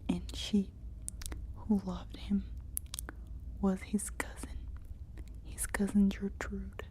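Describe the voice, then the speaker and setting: A woman reading a story aloud as whispered ASMR narration, in short, deliberate phrases. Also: medium pitch, little pitch movement, warm tone, rough recording. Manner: slow, deliberate delivery in short, separated phrases.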